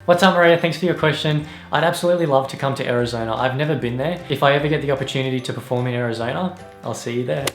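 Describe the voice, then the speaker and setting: A man's voice: mid-range voice, racing, echoey room, faint music behind. A young Australian man chatting upbeat and answering fan questions, who laughs often. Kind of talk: casual vlog Q&A.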